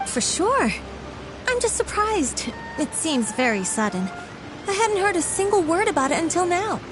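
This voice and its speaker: Squeaky, very high childlike sidekick. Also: female voice, big pitch swings, moderate pace, music in the background, low-quality recording. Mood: surprised, curious. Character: tiny, childlike, chatty girl sidekick, curious and candid. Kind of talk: video game voice acting.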